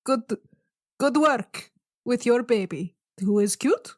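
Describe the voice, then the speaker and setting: A woman acts out a little blue fish man from a fantasy tabletop game. He talks slowly and rather high, stammering through polite small talk. He is awkward and clueless, and the sound is very dry. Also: expressive, darkish tone, no room sound. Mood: awkward, evasive. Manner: halting, stammering, fumbling.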